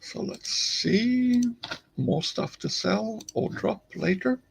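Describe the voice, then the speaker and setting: A man's voice: sits high, slow, vocal fry, a little hiss or hum. A man from Finland with a Finnish accent, chatting casually on a live stream while he plays, rambling along with many fillers and restarts.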